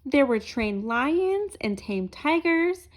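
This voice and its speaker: High, very animated woman reading a storybook. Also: slow. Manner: asks listeners questions as she reads. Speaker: storybook reader. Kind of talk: expressive children's read-aloud narration.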